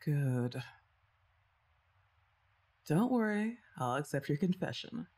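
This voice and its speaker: A young woman performing an ASMR roleplay as her best friend's playful, teasing older sister, speaking softly. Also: low-pitched, exaggerated voice. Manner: playful, teasing, soft-spoken.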